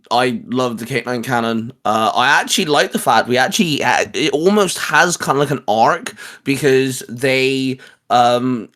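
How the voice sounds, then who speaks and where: Halting man chatting about geeky things. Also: medium pitch, a bit bright. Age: adult, a parent. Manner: halting, repetitive, stammered word starts. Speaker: host. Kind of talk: casual geek chat.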